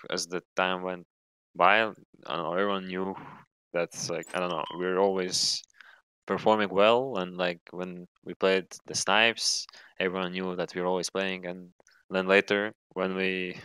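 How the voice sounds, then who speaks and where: Low, bright young man's voice from Latvia. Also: little pitch movement, moderate pace, clean tone, dry recording, rough recording. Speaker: professional esports player. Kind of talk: casual interview chat.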